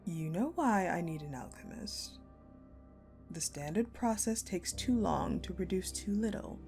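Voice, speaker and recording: Casting: actress for cruel, commanding female general, dark roleplay audio; slightly low voice, very measured delivery, mockingly sweet, faint music underneath. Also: animated. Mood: cool, calculating. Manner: deliberate, condescending, mocking, with dramatic pauses. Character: sadistic, coldly menacing ice-queen general, commanding and mockingly sweet. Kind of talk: dark audio roleplay acting, addressed to the listener.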